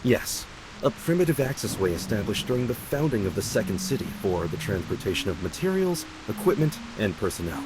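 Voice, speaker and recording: A man voicing a calm, knowledgeable young companion in a video game, explaining things in a measured way. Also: lowish voice, background noise. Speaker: game character. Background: game music.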